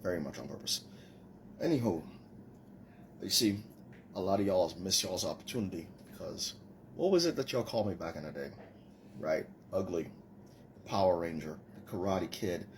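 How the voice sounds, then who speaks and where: A man's voice: low-pitched, expressive, moderate pace, long pauses, slight background noise. A man in his forties with a Trinidadian accent, boasting and mocking his critics in a casual rant. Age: 40s. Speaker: commenter in a stitched video.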